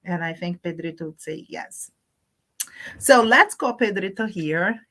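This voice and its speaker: A woman's voice: on the low side, animated, measured pace, bright, forceful tone. A woman hosting a children's storytime livestream, talking to young children in simple teacherly phrases mixed with Spanish words. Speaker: host of a children's storytime channel.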